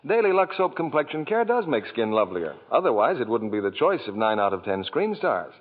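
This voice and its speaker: A man announcing a 1940s radio soap commercial in a smooth, persuasive tone. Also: medium pitch, big pitch swings, a little fast. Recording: tinny 1940s radio broadcast.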